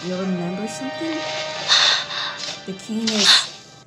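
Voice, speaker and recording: A woman comments casually on a video game as she plays. She speaks slowly at a medium pitch, with loud game music running behind her voice. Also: expressive, low-quality recording. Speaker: game streamer. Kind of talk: casual playthrough commentary, reacting to game dialogue.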